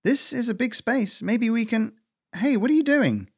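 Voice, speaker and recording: A man's voice: very high-pitched, normal speed, vocal fry, slightly rough, voice pushed up, heavily acted. A man voicing a snappy, exasperated older female squirrel in a narrated adventure story. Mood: urgent.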